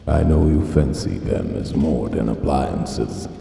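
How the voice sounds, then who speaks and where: An actor playing a menacing, taunting military major in a sci-fi drama, with a gravelly voice and a slow, condescending delivery. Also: male voice, a bass voice, expressive, mellow, heavily acted. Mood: cold contempt. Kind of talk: cinematic sci-fi drama acting. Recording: roomy, distant, long reverb, faint noise.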